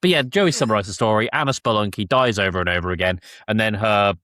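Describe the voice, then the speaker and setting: A man's voice: lowish voice, quick pace, a bit bright, no echo, clean studio sound. A man hosting a casual review chat among friends on a podcast. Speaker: podcast host.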